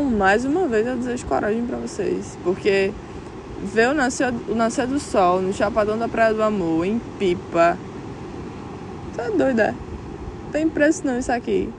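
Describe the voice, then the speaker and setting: A woman's voice: fairly high pitch, vocal fry, background noise. A young woman vlogging outdoors in a casual, slang-filled reflective chat, speaking directly to her viewers. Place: outdoors on a clifftop by the beach.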